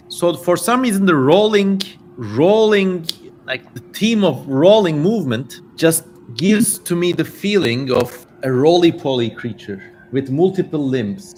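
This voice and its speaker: A man's voice: medium pitch, darkish tone. Male stream host, mid-range voice. Informal, tangent-prone reading and commentary, slightly crude, faint noise behind. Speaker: livestream host. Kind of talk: casual livestream reading with commentary. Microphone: stationary mic, leans in to it.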